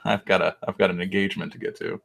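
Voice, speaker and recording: Low-voiced, teasing man. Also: little pitch movement, fast talker, gravelly, a bit bright, breathy edge. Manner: opinionated, teasing. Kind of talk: casual chat on a livestream.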